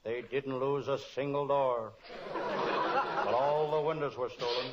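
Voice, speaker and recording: Guy doing a wisecracking softhearted private eye, medium pitch, old radio show, kinda muffled. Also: measured pace, very noisy, lightly acted. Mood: deadpan, wisecracking. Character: wisecracking, kind-hearted male private detective. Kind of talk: old-time radio detective spoof, narration and dialogue. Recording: dull lo-fi old radio recording.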